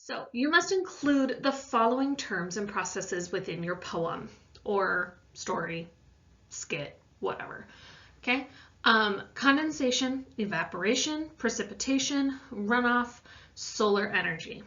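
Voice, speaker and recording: A woman's voice: fairly high pitch, lively intonation, a little slow, a bit bright, highs cut off. A woman science teacher explaining an assignment in a casual, conversational lesson voice-over with informal asides.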